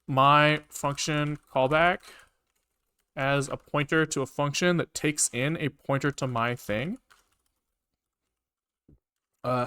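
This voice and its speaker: A man thinking aloud casually as he programs on a livestream, halting and questioning himself as he mutters along. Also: a little high, sharp tone, clear, resonant tone. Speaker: live-coding streamer, programmer.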